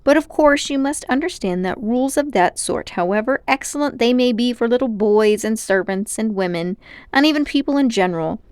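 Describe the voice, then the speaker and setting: A woman's voice: on the high side, dry recording, studio quality. A woman voicing a pompous, condescending, scheming old man, a self-important magician uncle in a storybook. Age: older. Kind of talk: storybook character voice.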